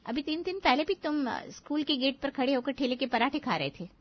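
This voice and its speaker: A strict female cartoon schoolteacher, acted by a woman, with a high voice and a firm, lecturing manner. She sounds concerned for her pupils. The audio is slightly muffled. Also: animated, brisk. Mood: brisk, concerned. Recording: dull lo-fi audio.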